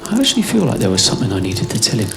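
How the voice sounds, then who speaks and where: A man's voice: fairly low pitch, wildly swooping pitch, racing, creaky, noisy background. A man performing a spoken-word monologue in a musing, conversational way, repeating his phrases. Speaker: performance artist, writer performing own piece. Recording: live club performance with music behind. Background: prominent music.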